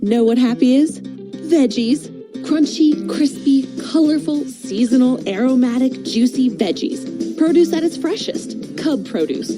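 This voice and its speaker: A woman's voice: high-pitched, animated, a little slow. An American woman announcing a scripted radio commercial in short, punchy phrases. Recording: music bed behind voice.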